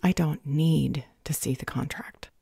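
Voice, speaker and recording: A woman's voice: sits low, unhurried, vocal fry, warm tone, slightly rough, dry recording, clean studio sound, heavily acted. A woman playing a jaded, condescending government customer service clerk in an audio roleplay, bureaucratic and unhelpful. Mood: bored, dismissive. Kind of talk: audio roleplay drama acting.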